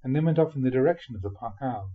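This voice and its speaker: A man reading a spiritual memoir aloud expressively, in a measured way with dramatic pauses. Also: mid-range voice, lively intonation, phone-quality sound. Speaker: reader of Buddhist teachings.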